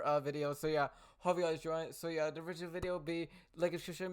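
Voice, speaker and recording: A man's voice: on the high side, a bit bright, clear, resonant tone. A man hosting a reaction video, commenting casually and informally with short exclamations and asides.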